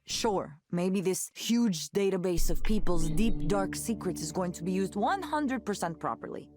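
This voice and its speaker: A woman acting a passionate, skeptical young tech musician in a visual novel game, speaking conversationally in an expressive, wry, earnest way. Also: mid-range voice, unhurried, close to an everyday voice. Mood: wry, worried. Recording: game audio with music underneath.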